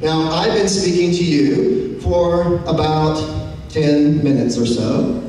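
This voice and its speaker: A man giving a public talk to an audience, slow and measured, posing questions and pausing to let them sink in. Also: steady tone, mellow. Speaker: speaker addressing an audience. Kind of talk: public lecture. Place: large hall. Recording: echoey hall from far off, faint rumble.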